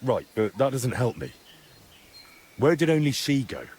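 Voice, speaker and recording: A man's voice: medium pitch, sing-song, unhurried, a little mellow. A man playing an earnest young investigator in a medieval video game drama, direct and questioning, reassuring but pressing. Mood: inquisitive. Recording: clear background ambient noise.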